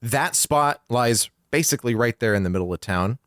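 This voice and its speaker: A man talks as a podcast co-host in a loose, colloquial way. His pitch moves around in a lively way as he recounts things. The sound is close and dry, suited to casual comedy chat. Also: normal speed, dry recording.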